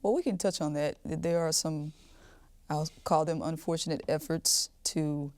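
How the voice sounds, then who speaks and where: A woman interviews as a podcast host in a low voice that stays fairly level. The sound is dry and close, and her phrasing is conversational. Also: steady tone, unhurried, a little mellow, no echo.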